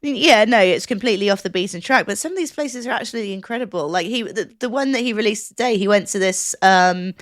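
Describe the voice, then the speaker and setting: A woman's voice: mid-range voice, fast, few pauses, dry recording. A woman chatting casually as a podcast co-host, explaining things in an enthusiastic, rambling way.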